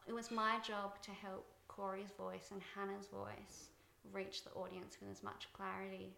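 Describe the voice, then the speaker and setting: Soft-voiced woman, bright and gravelly. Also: medium pitch, level tone, moderate pace, reverberant, a little hiss or hum. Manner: thoughtful, many fillers and restarts. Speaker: guest, TV director. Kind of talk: reflective interview talk.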